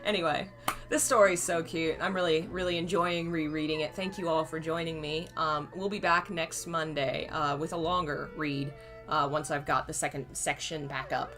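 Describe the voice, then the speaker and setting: A hoarse woman reading a novel aloud on her channel, chatty and fond when she talks to viewers. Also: sits low, steady tone, normal speed, fairly bright tone, soft background music. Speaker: reader and channel host.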